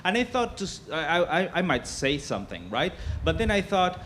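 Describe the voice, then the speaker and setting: A man from Argentina doing a stand-up set on a comedy club stage, with a halting delivery full of fillers. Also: sits high, very animated, fairly bright tone, slight background noise.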